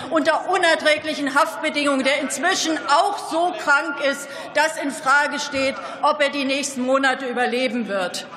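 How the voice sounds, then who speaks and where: High, bright political orator in echoing hall. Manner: indignant, accusatory, rhetorical questions. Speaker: politician giving a speech. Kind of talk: fiery political speech. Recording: echoey hall with long reverb.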